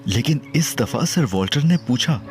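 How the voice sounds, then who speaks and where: A man's voice: mid-range voice, expressive, moderate pace, soft background music, lightly acted. A man as a cocky, joking young detective. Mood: narrating. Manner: playful, teasing, theatrical. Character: cocky, joking young male detective and first-person narrator. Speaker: Ali Imran. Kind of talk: audio drama acting and narration.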